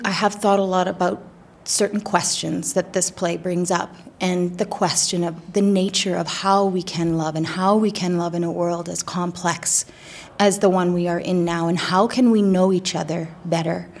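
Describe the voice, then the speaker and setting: A woman, a theatre director, talking earnestly in an interview and searching for her words. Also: fairly low pitch. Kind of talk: reflective interview.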